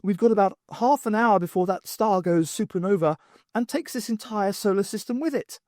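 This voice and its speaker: Casting: alarmed woman scientist played by a man, raised pitch, audiobook storytelling. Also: fairly quick, darkish tone, dry recording, clean studio sound, close to an everyday voice. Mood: horrified. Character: female professor, alarmed scientist explaining impending catastrophe.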